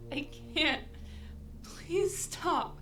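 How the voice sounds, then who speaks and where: A woman playing a frightened, wounded but defiant heroine in an audio drama, gasping, halting and pleading weakly. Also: sits high. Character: frightened, wounded, defiant woman begging her attacker for mercy.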